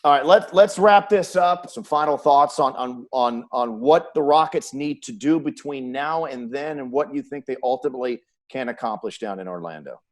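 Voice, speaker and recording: A man's voice: medium pitch, moderate pace, few pauses. A man hosting a casual sports chat, putting questions to guests. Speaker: host, sports announcer.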